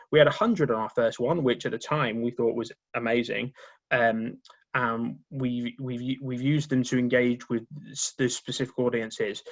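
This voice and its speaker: Halting man on a dull call line. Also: medium pitch. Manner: halting, with many restarts and repeated words. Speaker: union marketing officer. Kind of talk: conference call presentation. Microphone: remote call audio.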